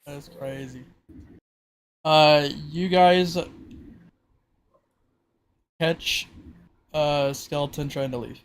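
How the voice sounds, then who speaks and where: A man's voice: fairly high pitch, drawn-out words, pauses often. A man acting as game master, casually talking through rules and rolls over some background noise. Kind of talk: casual tabletop game narration and rulings.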